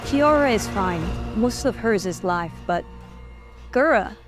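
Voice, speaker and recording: A woman's voice: mid-range voice, animated, unhurried, lightly acted. A woman playing a stressed subordinate manager in an audio drama, protesting and questioning. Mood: dismayed. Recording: music under the voice.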